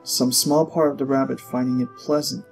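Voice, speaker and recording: A man narrates a story as a scripted audiobook. His voice sits at a middle pitch, and he phrases things deliberately with expressive pauses. Faint music plays underneath. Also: vocal fry.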